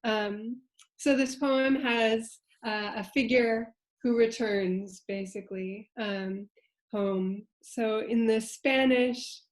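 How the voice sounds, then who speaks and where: A woman on a translation prize committee, talking in a slow, reflective way with many pauses and fillers in a roundtable discussion. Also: a little high, fairly even intonation. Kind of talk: roundtable discussion, reading poetry aloud.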